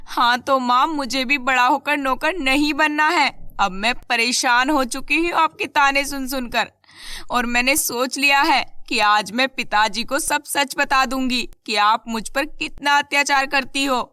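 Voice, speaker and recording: A woman voicing a mistreated, kind-hearted young girl in an animated children's moral story, earnest in manner. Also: high voice, unhurried, clear, resonant tone, dry recording, clean studio sound. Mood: aggrieved. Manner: earnest, pleading.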